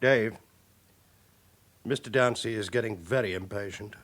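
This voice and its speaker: An actor playing a cautious, middle-aged British police chief inspector in a radio drama, formal and measured. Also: male voice, fairly low pitch, fairly bright tone. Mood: stern, measured. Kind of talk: radio crime drama acting.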